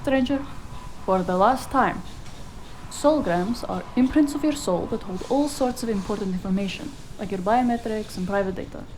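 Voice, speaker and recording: A woman playing a bossy, sharp-witted cyberpunk fixer in a noir audio drama, sardonic and scolding. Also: medium pitch, moderate pace, slight background noise, close to an everyday voice, rough recording. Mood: exasperated, smug. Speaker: voice actor.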